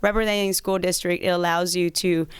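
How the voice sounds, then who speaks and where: A woman's voice: fairly low pitch, steady tone, brisk, smooth, no room sound. A woman in her thirties from south Texas, answering interview questions as a lawyer and candidate. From south Texas, United States. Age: 30s.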